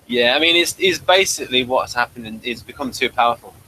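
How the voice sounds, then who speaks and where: A man's voice: medium pitch, animated, brisk, pushed and bright, a little hiss or hum, poor audio. A man hosting a casual review discussion in a conversational style. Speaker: podcast host.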